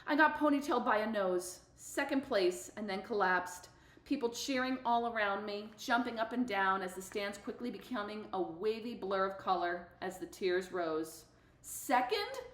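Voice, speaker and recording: A woman's voice: mid-range voice, normal speed, fairly bright tone. A woman reading a novel aloud expressively for middle schoolers. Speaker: book reader for middle schoolers. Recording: echoey room.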